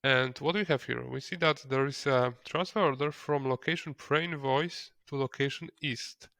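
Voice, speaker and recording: A non-native English-speaking man narrating a software tutorial step by step, in a hesitant way. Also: mid-range voice, moderate pace, no echo. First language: not English. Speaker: software trainer.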